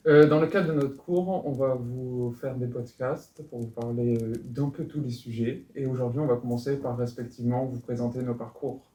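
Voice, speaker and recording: Relaxed man in his twenties, echoey room. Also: medium pitch, normal speed, few pauses. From France. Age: 20s. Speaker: master's student. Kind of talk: casual podcast chat. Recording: echoey room with faint background hum.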